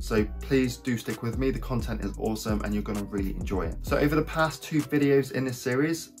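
A man in his thirties speaks at a middle pitch, his voice a bit croaky after a cold. He talks upbeat and direct to camera from a small home office, calling his viewers "guys". Faint guitar music plays softly underneath. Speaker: web design channel host. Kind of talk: scripted talking-head tutorial.

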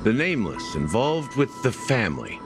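An actor playing a wry, world-weary middle-aged detective in a video game, laid-back and sardonic. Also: male voice, mid-range voice, huge pitch swings, normal speed, over music. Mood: evasive, sardonic. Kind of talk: video game cutscene acting.

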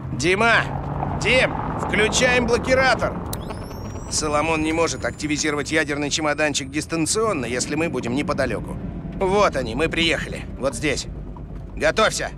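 A man's voice: on the high side, normal speed, lightly acted. A man playing an earnest, urgent Russian special forces comrade in a military shooter game dub. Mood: urgent, commanding. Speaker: squad member giving orders. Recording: loud car and street noise behind. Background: vehicle engine and city noise.

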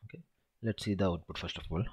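A man's voice: deep voice, fast talker, darkish tone, breathy edge. A man tutoring SQL in a screen-recorded walkthrough, thinking aloud and counting through each step.

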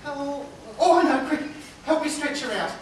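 Woman doing a fussy panto laundry mum, high voice, far away in a big echoey hall, lots of hiss. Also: a little slow. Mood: flustered. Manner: flustered, fussing. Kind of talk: amateur pantomime on stage. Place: large theatre stage. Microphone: far camera.

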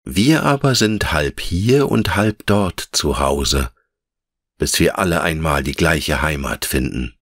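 Man voicing a gentle, wise female tree elf. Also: rumbling low, lively intonation, no echo, clean studio sound, heavily acted. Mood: gentle, explaining. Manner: measured, patient, lyrical explaining. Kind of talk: fairy-tale audiobook character.

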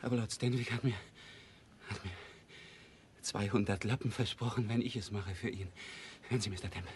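Actor as halting, injured small-time crook. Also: male voice, fairly low pitch, fairly even intonation, natural voice. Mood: shaken, insistent. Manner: halting, repeating himself. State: weak, dazed, struggling to speak. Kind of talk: German radio crime play acting. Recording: noisy old radio play recording.

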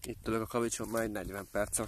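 A man's voice: lowish voice, steady tone. Young man vlogging outdoors, faint noise. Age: young adult. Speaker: vlogger filming behind the scenes. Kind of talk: casual vlog talk to camera. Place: outdoors on a rocky coastal hillside.

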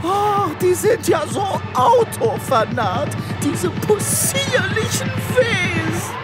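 High, wildly animated, gushing chicken official. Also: female voice, slow, loud music behind the voice, full character voice. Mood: gushing, delighted. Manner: effusive, stammering, clucking over words. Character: gushing, overeager chicken health official, devoted to knitting creatures. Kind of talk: comic sci-fi radio play acting.

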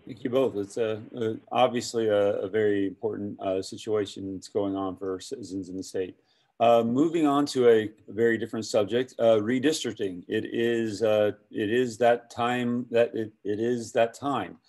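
Low-voiced American man moderating. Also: steady tone, moderate pace, darkish tone. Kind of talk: moderating a public forum.

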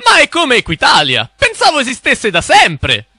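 A man playing a naive, excitable, confused young everyman in a cartoon, sounding bewildered. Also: extremely high pitch, normal speed, dry recording, heavily acted. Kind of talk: exaggerated cartoon dialogue.

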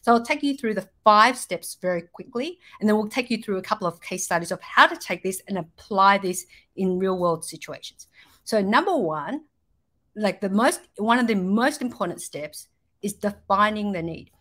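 A woman's voice: medium pitch, animated, normal speed, a bit bright. Middle-aged woman explaining in a presentation. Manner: explanatory, checking in with "right?" and "you know". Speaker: startup marketing speaker. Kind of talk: slide presentation to an online audience. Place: indoors, on a video call.

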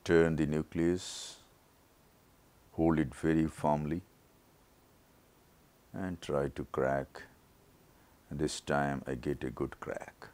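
A man with an extremely low voice, still worn from a throat infection, narrates surgery. He talks very slowly, laying out each step plainly for learners. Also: steady tone, darkish tone. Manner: slow, measured, step-by-step explanation. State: recovering from a throat infection, voice not normal. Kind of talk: slow surgical teaching narration.

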